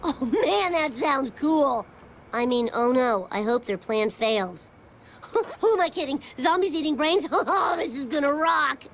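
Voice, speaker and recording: Mischievous young boy, high pitch, very animated delivery, brash, cartoon game. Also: a little slow, slight background noise. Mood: gleeful. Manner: cheeky, brash. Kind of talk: animated comedy game acting.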